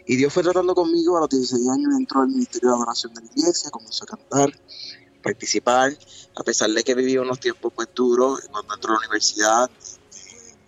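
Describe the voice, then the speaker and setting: A man in his twenties with a Puerto Rican accent, a gospel singer talking earnestly about his faith in a remote interview, with pauses and fillers. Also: mid-range voice, animated, lo-fi sound. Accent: Puerto Rican Spanish. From Puerto Rico. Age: 20s.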